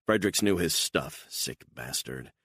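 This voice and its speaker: Guy doing a calm hitman, super deep voice, short firm lines, dry sound. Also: measured pace, breathy edge, no room sound, heavily acted. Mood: steady. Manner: level, terse, firm. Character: calm, hard male assassin, controlled.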